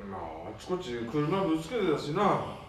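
Radio drama son, male actor: stern, exasperated, animated intonation, Nambu dialect, distant echoey recording. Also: fairly high pitch, normal speed, slight background noise, close to an everyday voice. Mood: blunt, worried. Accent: Nambu dialect of northeastern Japan. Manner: scolding, blunt, exasperated. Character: stern, angry eldest son scolding his elderly father. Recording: very echoey room, distant mic.

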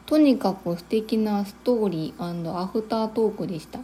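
A woman's voice: mid-range voice, fairly quick, warm tone, a little hiss or hum. A woman co-hosting a casual chat, polite and agreeable in the way she talks and reads. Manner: agreeable, frequent short acknowledgements, polite. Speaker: co-host, amateur theatre member. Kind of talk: casual chat and listener-letter reading.

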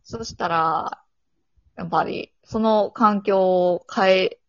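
A woman chatting reflectively as a guest, haltingly thinking aloud. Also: mid-range voice, dry recording, lo-fi sound. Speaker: guest, amateur theater troupe founder. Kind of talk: casual reflective chat.

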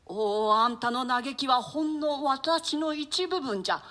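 A woman's voice: high voice, a little slow, sharp tone. A woman acting a stern, grieving old duchess and grandmother in a classic stage play, speaking in a theatrical, archaic manner. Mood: grieving lament. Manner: lamenting, theatrical, admonishing.

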